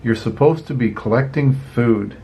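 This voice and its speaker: A man's voice: medium pitch, normal speed, highs cut off, slight background noise, natural voice. An older man voicing a kind, playful young female ant princess in a children's storybook, with a friendly, girlish manner. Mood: warning.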